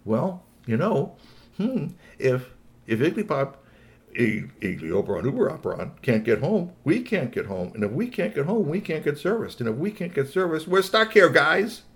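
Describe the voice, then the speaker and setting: A hesitant robot from a children's story , acted by a man. It thinks aloud in a raised, creaky voice. It sounds worried and halting as it reasons things out. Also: a little high, very animated, pitched above the performer's own voice. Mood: pondering, worried. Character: hesitant, pondering robot worried about being stuck. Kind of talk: improvised children's story dialogue.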